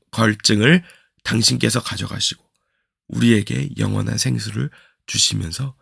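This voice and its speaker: A man, a pastor, giving a slow, earnest devotional sermon, his voice hoarse from a cold. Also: medium pitch, no room sound, clean studio sound. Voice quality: gravelly. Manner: slow, earnest preaching with repeated reflective questions.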